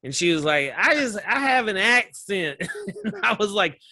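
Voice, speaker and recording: A man with a Southern American accent, a comedian telling animated stories in a casual interview and laughing often. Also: sits high, a little slow. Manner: animated storyteller, laughs often, acts out voices. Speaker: comedian guest.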